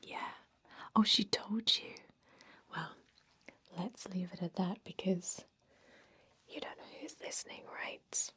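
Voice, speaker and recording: A woman playing a chatty, laid-back, gossipy female vampire interviewer in an ASMR roleplay, in a soft whisper with an informal, confiding manner. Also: mid-range voice, fairly even intonation, takes it slowly, pauses often, breathy edge, full character voice. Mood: surprised, confiding.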